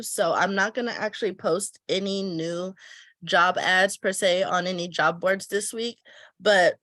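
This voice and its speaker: Woman talking thru her weekly planner, kinda stuffy from a cold, chatty think-out-loud vibe, dry close mic. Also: fairly low pitch, no echo. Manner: thinks aloud while filling in a planner, relaxed and chatty. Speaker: travel agency owner teaching planning. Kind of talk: casual step-by-step tutorial.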